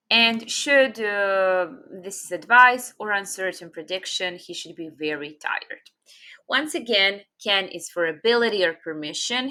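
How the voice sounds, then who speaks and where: Bright-voiced Serbian woman teaching English. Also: medium pitch. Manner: patient, explains slowly with many fillers. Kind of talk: casual online grammar lesson.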